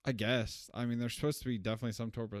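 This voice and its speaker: A man's voice: medium pitch, flat delivery, very fast, no echo, studio quality. A young man co-hosting a podcast, chatting casually about a movie he just saw, rambling and correcting himself. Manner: rambling, self-correcting, laughs at own jokes. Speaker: podcast co-host. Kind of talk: casual film review chat.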